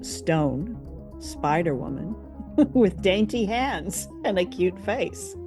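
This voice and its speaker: A woman's voice: on the low side, expressive, slow, over music. A woman co-hosting a radio show, chatting casually and conversationally as she reviews drama.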